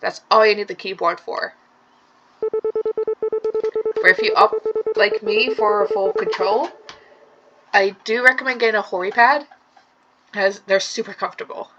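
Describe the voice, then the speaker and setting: Woman, casual game commentator, slightly hoarse, chatty explainer, mid-range voice. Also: medium pitch, lively intonation, measured pace, pauses often, dull audio, over loud music. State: hoarse from a sore throat. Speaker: let's play gamer. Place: room at home.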